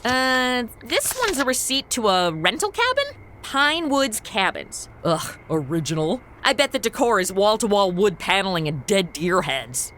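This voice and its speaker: Flippant young modern gal, bright sharp voice, lively pitch, wisecracking, exasperated, faint car ambience. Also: female voice, measured pace, a little hiss or hum. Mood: sarcastic. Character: breezy, sarcastic modern young woman in vintage radio world. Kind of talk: comedy audio drama.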